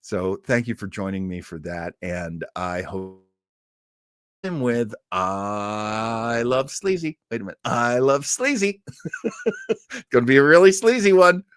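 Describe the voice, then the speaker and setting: A man's voice: medium pitch, expressive, slow, fairly bright tone, clear, resonant tone, no room sound, studio quality. A man in his early sixties hosting a livestream, chatting casually with viewers in a rambling way with jokey asides. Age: early 60s. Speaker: host of a livestreamed classic radio listening show.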